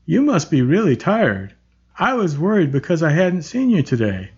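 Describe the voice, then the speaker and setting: Man doing a gentle, childlike big man. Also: a little high, huge pitch swings, moderate pace, breathy edge, dull audio, exaggerated voice. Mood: eager innocent.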